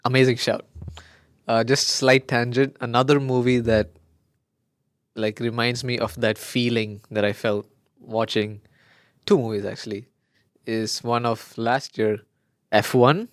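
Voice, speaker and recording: Excitable young man chatting about movies. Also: medium pitch, measured pace, pauses often, no room sound, studio quality. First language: Malayalam. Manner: excitable, gushing, trails off mid-sentence. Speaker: podcast co-host. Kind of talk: casual chat.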